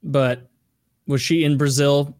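A man's voice: a little high, fairly even intonation, slow pace, a little mellow, dry recording, clean studio sound. A man bantering casually in a blunt, joking way as a podcast co-host.

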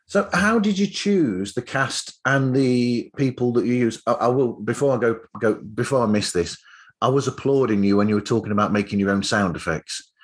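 A man with a mid-range voice works as a podcast host. He interviews in a casual, chatty style, as if in relaxed conversation with his guests. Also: medium pitch, moderate pace.

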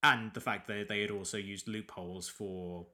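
Man, podcast host, slightly low voice, sniffly, rambling casual chatter. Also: fairly even intonation, moderate pace, pushed and bright. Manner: rambling, many restarts. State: sniffly from allergies. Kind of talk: casual film podcast chat.

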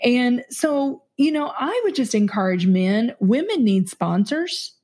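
Female business leader, interview guest, warm mellow voice, earnest and halting. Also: on the high side, lively intonation. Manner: earnest, hesitant, many fillers. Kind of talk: casual interview chat.

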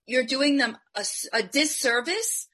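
Woman from Colombia, business panelist; punchy, motivational delivery, sharp bright tone. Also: sits high, a little slow, breathy edge. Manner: emphatic, motivational, animated. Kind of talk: live online panel discussion.

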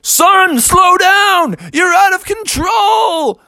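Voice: mid-range voice, big pitch swings, normal speed, fairly bright tone, no echo. A storyteller voicing a jolly, blustery father bear in a children's story, with folksy old-fashioned turns of phrase. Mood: alarmed. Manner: old-fashioned folksy exclamations, calls son "me boy".

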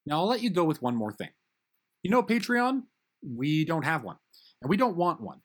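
A man's voice: fairly high pitch, big pitch swings, normal speed. A man reading the closing credits and a friendly outro for an audio drama. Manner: friendly, upbeat, conversational.

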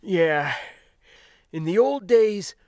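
A man's voice: sits high, animated, very slow, a little mellow, no room sound, studio quality, voice pushed up, full character voice. A man voicing a proud old spacer cargo hand in an audiobook story, with folksy, clipped, rambling old-timer talk. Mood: reminiscing, proud. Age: older.

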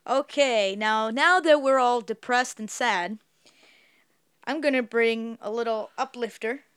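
A woman with a smooth, slightly high voice, chatting playfully on a podcast. The sound is dry and close, with little room around it. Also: unhurried, a bit bright, no echo. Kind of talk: casual podcast chat.

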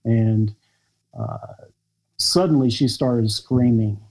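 An American man speaks as a podcast guest, his voice mellow and dark in tone. He recounts family events slowly and reflectively, pausing and restarting often. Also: medium pitch, rough recording. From United States. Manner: reflective, halting storytelling with many fillers. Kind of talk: podcast interview conversation.

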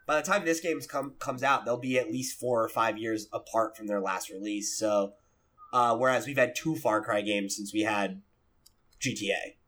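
A man's voice: fairly low pitch, steady tone, normal speed, fairly bright tone. A man co-hosting a casual gaming podcast, chatting informally and freely using swear words. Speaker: podcast co-host.

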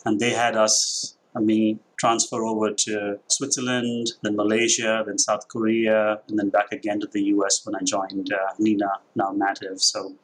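A man in his forties from India with a blended Indian and international accent, speaking as a company president in a podcast interview, measured and thoughtful with frequent fillers. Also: on the low side, little pitch movement, rough recording. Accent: Indian, with a mix of international influences.

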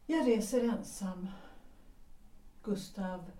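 An actress voicing Gertrud, a tired wife leaving her husband, speaking quietly and with resignation in an audio drama. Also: mid-range voice, distant mic, lightly acted. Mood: weary resolve. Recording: roomy, off-mic.

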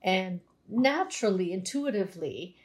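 A woman's voice: mid-range voice, animated, normal speed. A Canadian woman, a naturopathic doctor, explaining nutrition in a conversational interview with everyday examples.

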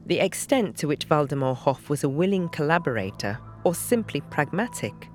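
A narrator delivers scripted, documentary-style passages for an audio drama. The pitch sits in the middle. Faint plucked guitar music plays underneath. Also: very animated, normal speed.

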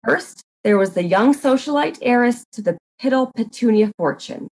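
A woman narrating a comic murder mystery in a deliberate, deadpan dramatic reading. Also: fairly high pitch, rough recording.

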